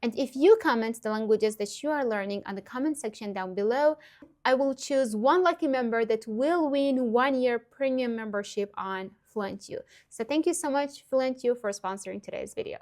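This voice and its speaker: A woman's voice: on the high side, moderate pace, a little mellow. A woman in her twenties from Iran, whose first language is Persian, giving earnest, upbeat language-learning advice to camera with frequent small laughs. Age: 20s. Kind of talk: direct-to-camera tutorial vlog.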